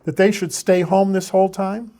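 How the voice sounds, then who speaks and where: A man's voice: sits high, steady tone, mellow. A man in his seventies, a guest expert in a studio discussion, talking emphatically and jumping in to argue his point. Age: 70s. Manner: emphatic, argumentative, quick to interject.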